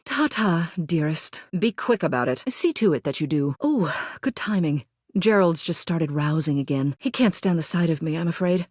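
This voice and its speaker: A haughty lady mayor from a video game. Her fairly low voice swings expressively through genteel, condescending phrases, fussy and pompous, recorded very dry. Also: a little mellow, no room sound. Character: haughty, prim, meddling lady mayor of the household. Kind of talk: video game character acting.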